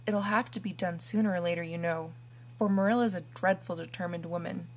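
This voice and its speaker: Woman reading a timid elderly farmer, hushed and hesitant. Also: on the low side, fairly even intonation, fairly quick, lightly acted. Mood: coaxing. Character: shy, kindly elderly male farmer.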